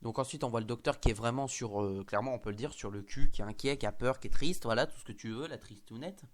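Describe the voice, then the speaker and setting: A man's voice: mid-range voice, quick pace. Man with a cold, chatting. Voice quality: hesitant, many fillers and restarts. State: has a cold. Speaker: host. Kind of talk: casual fan discussion.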